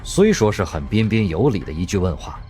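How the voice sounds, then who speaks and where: A man narrating an audio drama, telling the story expressively in a husky voice. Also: lowish voice, very animated, a little fast, dark tone, soft background music.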